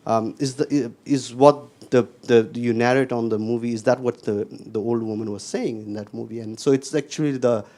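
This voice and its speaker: A young man from Nepal with a Nepali accent, asking an unscripted question at a panel discussion in a halting way, often repeating words. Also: medium pitch, steady tone, darkish tone. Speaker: audience member, PhD student.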